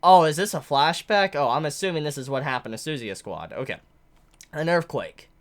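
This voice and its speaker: A low-pitched woman reacting live to a manga chapter, her voice swinging widely as she gets excited. She sounds congested because she is getting over a head cold. She talks in a chatty way and exclaims often. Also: brisk.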